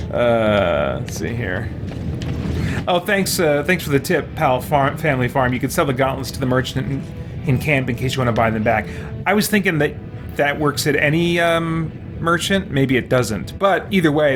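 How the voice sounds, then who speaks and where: A man streaming a video game, giving casual let's-play commentary and thinking aloud in rambling, chatty asides. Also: mid-range voice. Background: video game music.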